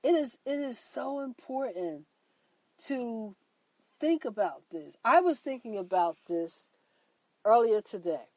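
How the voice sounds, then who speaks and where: Woman host, mellow stuffy voice from a cold, earnest repetitive motivational talk, dry close sound. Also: fairly high pitch, dry recording. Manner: earnest, repetitive, emphatic preaching; affirming herself with "that's right". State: congested from a cold. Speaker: podcast host.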